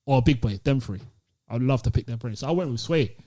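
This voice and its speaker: A man's voice: mid-range voice, fast, dark tone. A British man co-hosting a podcast, telling stories in casual, slangy banter. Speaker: podcast co-host.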